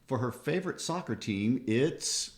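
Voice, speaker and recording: A man's voice: mid-range voice, expressive, measured pace. A man, talking conversationally as the co-host of a podcast.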